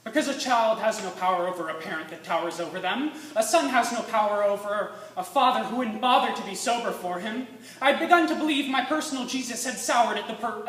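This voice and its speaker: A teenage boy reciting slam poetry dramatically, intense and emphatic, moving between hushed lines and forceful ones. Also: high-pitched. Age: teens. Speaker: student slam poet. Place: live stage in a room with an audience. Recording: echoey room, distant mic, faint noise.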